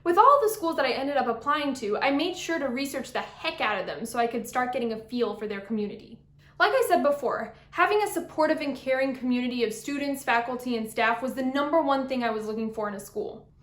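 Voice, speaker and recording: A young woman from Brazil with a high, bright voice, upbeat and a little playful as she gives advice from a script. The room around her rings with a noticeable echo. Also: expressive, a little fast. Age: young adult. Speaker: vlogger.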